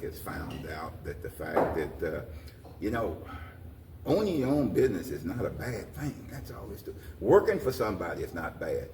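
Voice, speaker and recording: Older man, echoey distant recording. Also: fairly low pitch. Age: 60s or older. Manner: halting, repeats and restarts phrases, folksy. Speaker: interview guest, community leader. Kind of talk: informal talk-show interview. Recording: roomy echo, distant mic, faint noise.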